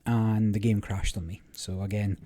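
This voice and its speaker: A man hosting a gaming channel, talking through a card game in a relaxed, rambling way, his voice a little croaky. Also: fairly low pitch, moderate pace. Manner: relaxed, rambling, many fillers. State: slightly croaky voice. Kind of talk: casual gameplay commentary.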